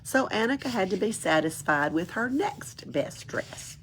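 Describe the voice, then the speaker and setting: A woman reads a children's book aloud in an expressive storytelling way. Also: medium pitch, unhurried, a little hiss or hum, poor audio. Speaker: library storyteller.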